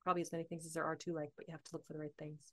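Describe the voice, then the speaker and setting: Lively, laughing woman guest. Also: deep voice, rapid-fire. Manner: animated, laughs often, thinks aloud. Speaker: podcast guest, author and coach. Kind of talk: casual interview chat.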